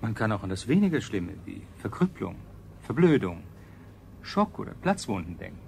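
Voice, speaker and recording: An actor playing a detached, clinical scientist in a science fiction radio play, speaking formally. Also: male voice, on the low side, very animated, moderate pace, vocal fry. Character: clinical male scientist, coolly listing injuries. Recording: faint noise, 1970s radio production.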